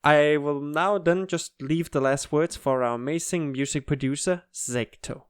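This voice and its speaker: A man's voice: a little high, measured pace, smooth, no echo, clean studio sound. A man giving a casual update announcement as the creator of an audio project.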